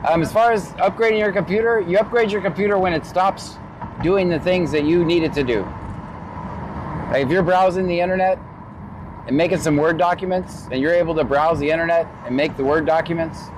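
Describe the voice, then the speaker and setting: A man hosting a live stream, chatting casually with viewers and calmly giving helpful computer advice. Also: on the high side, fairly quick, noisy background. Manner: relaxed, helpful tech explainer.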